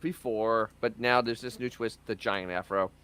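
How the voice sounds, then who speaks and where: A man's voice: fairly low pitch, steady tone, normal speed, fairly bright tone, clean tone. A man co-hosting a podcast, emphatically debunking a story in casual commentary. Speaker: podcast co-host.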